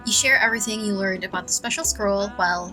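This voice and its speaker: A woman's voice: medium pitch, quick pace, sharp tone, soft background music. A woman giving casual playthrough commentary on a video game, sometimes reading choices aloud. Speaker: gaming content creator.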